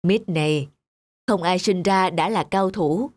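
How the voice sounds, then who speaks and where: A woman voicing a patient, encouraging cartoon father who reasons gently and instructively. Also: on the low side, measured pace. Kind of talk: cartoon dialogue. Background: music.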